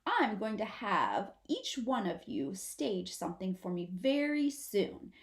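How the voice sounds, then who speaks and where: A woman's voice: medium pitch, huge pitch swings, slow pace, gravelly, exaggerated voice. A woman voicing a patient, protective mother possum who instructs her young in a children's storybook. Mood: encouraging. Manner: instructive, emphatic. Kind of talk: children's storybook read-aloud. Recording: roomy, echoing sound.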